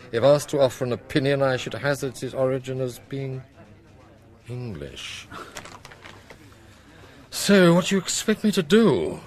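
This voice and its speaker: An actor playing a brisk, sardonic police chief giving orders in a radio drama, with a dry, authoritative manner. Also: male voice, medium pitch, a little mellow, lightly acted. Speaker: senior police officer.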